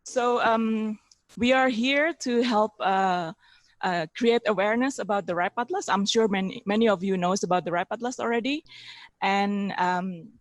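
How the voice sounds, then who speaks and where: A woman introducing herself and her work at a conference, hesitant, with many fillers, trailing off quietly at the end. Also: mid-range voice, normal speed, dry recording. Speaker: program manager presenting.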